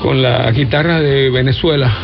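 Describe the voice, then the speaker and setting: A man's voice: medium pitch, fairly even intonation, normal speed, creaky. Chatty male radio host, lo-fi sound. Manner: chatty, drops English phrases into his talk. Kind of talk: casual radio interview. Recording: dull lo-fi radio audio with music behind.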